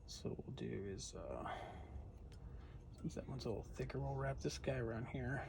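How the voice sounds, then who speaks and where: A man narrating his radio repair in a cold home workshop, thinking aloud in a relaxed way and murmuring as he works. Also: medium pitch, normal speed, gravelly. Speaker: hobbyist radio restorer. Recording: noisy workshop ambience. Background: steady background noise.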